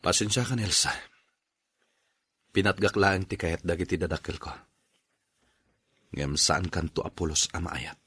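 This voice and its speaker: A man with an Ilocano accent playing a dejected, dutiful son in an audio drama, in a husky voice. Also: low voice, a little slow, long pauses, a bit bright, full character voice. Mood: apologetic. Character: dejected dutiful son forced into an unwanted marriage. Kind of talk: Ilocano radio drama acting.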